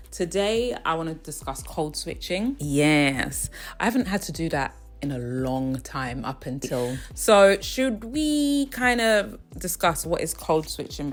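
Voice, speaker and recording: A young woman talking casually in her own vlog, chatty and often checking in with the viewer. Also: low voice. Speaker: vlogger host. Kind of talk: casual personal vlog monologue.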